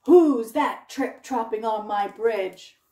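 A woman voicing a grumpy, hungry male troll in a storybook tale, loud and drawn out. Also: fairly high pitch, takes it slowly, roomy sound, recorded from a distance. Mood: gruff.

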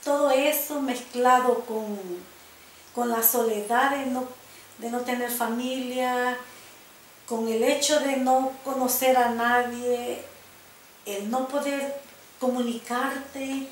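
An older woman from El Salvador speaks with a Salvadoran Spanish accent and a fairly high voice. She tells her story slowly and reflectively, stumbling over repeated starts. The room sounds roomy, with a faint hiss from a home video camera. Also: fairly even intonation. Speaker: interviewee. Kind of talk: personal life-story interview. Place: living room, indoors.

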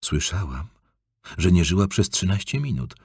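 A man's voice: low voice, big pitch swings, normal speed, vocal fry, a little mellow, breathy edge, no room sound, clean studio sound, full character voice. A man voicing a tense, guarded teenage girl who speaks tersely in an audiobook.

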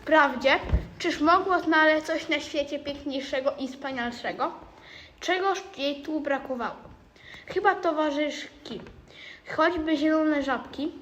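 High-voiced young girl narrating. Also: measured pace, fairly bright tone. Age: child, around twelve. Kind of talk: scripted storybook narration. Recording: clearly noisy amateur recording.

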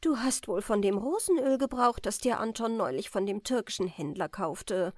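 A woman's voice: medium pitch, expressive, normal speed, darkish tone, no room sound, clean studio sound, close to an everyday voice. A woman voicing a disapproving, penny-pinching mother of a grown daughter in a fairy tale. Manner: disapproving, screechy when upset.

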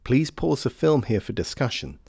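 A man reading scripted instructional narration for a training film in a measured, formal, carefully enunciated way. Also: medium pitch, big pitch swings, gravelly, a little mellow, husky, dry recording, studio quality.

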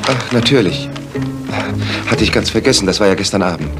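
Ein Sprecher, der in einem Hörspiel einen treuen, leicht reizbaren Arzt spielt, ernst und empört. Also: male voice, on the low side, lively intonation, a little fast, over music, close to an everyday voice. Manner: indignant and earnest. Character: loyal, easily ruffled male doctor companion. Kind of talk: German radio drama.

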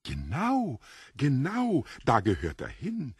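An actor playing a mischievous foreign gentleman in an audio drama, theatrical, effusively polite and mocking. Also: medium pitch, huge pitch swings, slow, breathy edge, dry recording, clean studio sound, exaggerated voice. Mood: gleeful, mocking. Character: courtly, mischievous foreign stranger, devilishly theatrical.

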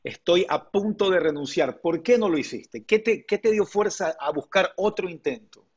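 A middle-aged man hosts an interview over a video call, his voice a touch high and husky. He asks long, earnest questions. The sound is dull and lo-fi through earbuds. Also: expressive, moderate pace.

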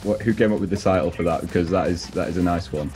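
A man's voice: low-pitched, fast talker, a little mellow, soft background music. A young man interviewing in a casual, chatty way over a video-call headset. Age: young adult.

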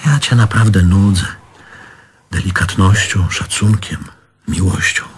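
An actor playing a jealous, self-pitying man reproaching his lover in halting, literary phrases, in theatrical stage style. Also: sits low, a little hiss or hum. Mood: hurt, insistent. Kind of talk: theatrical stage drama acting.

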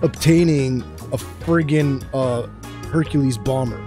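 A man casually chatting while playing a space game, easily excited and talkative. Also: mid-range voice, unhurried, music in the background. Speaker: gaming channel host. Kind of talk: casual gameplay chat.

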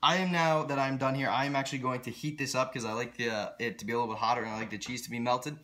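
A man's voice: medium pitch, fairly even intonation, racing, over music. A young man talking casually and enthusiastically to his viewers as a vlogger, recorded in a home kitchen on a camera at about arm's length. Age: young adult. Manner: enthusiastic, earnest. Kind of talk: casual vlog talk to viewers.

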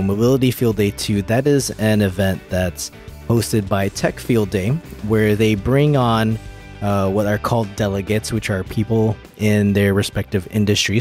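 A man's voice: on the low side, darkish tone. A man hosting a podcast, chatting casually and explaining things about tech. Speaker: podcast host.

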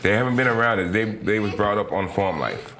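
A man's voice: lowish voice, brisk, a crowd in the background. A middle-aged man giving a plain personal account as an interview guest. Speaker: interview guest, father of young children. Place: small TV studio living-room set. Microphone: lapel.

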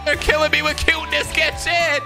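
A man's voice: very high-pitched, level tone, fairly quick. A young man doing hyped, slangy live reaction commentary as a streamer, full of exclamations. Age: young adult. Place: indoor room, streaming setup. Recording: game trailer music faintly behind.

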